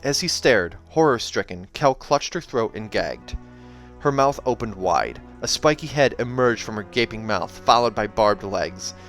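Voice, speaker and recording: A man hosting a read-aloud of a novel, narrating in an expressive, dramatic style. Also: fairly low pitch, a little slow. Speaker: host reading a novel aloud. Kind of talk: dramatic audiobook narration with casual asides. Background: soundtrack music.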